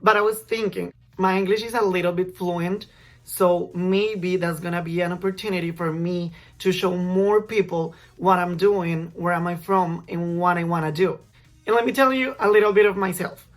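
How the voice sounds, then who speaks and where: A young man from Colombia, a native Spanish speaker with a Colombian Spanish accent, talking through a makeup tutorial in chatty, slightly halting English. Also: high-pitched, steady tone. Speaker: makeup vlogger. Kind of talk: casual makeup tutorial talk.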